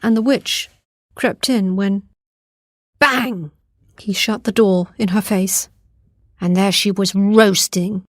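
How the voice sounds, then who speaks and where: A woman's voice: medium pitch, fairly even intonation, slow pace, pauses often, no room sound. A woman narrating a scripted fairy tale as a storyteller.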